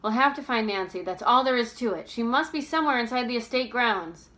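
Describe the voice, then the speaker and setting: An indignant young storybook heroine, played by a woman reading aloud, pitched well above an everyday voice. She is brusque and impatient, and speaks in emphatic, commanding bursts. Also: expressive, fairly quick, a bit bright. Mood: decisive, brusque.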